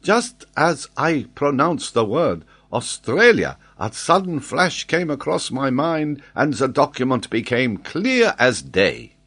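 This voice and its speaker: A man voicing an excitable, triumphant French geographer who argues emphatically and draws out his key words. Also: mid-range voice, slow, hardly any pauses, breathy edge, voice pushed up, full character voice. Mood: excited, insistent.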